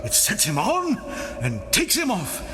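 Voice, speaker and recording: A drunken, bawdy porter from a Shakespeare play, grumbling and exclaiming theatrically. He drags out his words at an unhurried pace and his voice swoops widely up and down. There is clear ambient noise behind him. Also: sits high, huge pitch swings, sharp tone, heavily acted. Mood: bawdy, rambling. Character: drunken, grumbling, bawdy castle porter imagining himself hell's doorman. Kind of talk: theatrical Shakespearean monologue, audiobook performance.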